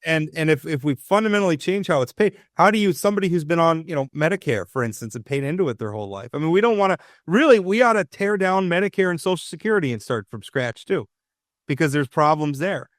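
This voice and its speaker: A man with a fairly high speaking voice talks politics in a casual, opinionated way, stumbling over restarts as he builds his point. The sound is dry and close, with no room echo. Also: lively intonation, fast. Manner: opinionated, frequent restarts and stammered repeats. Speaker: radio talk show host.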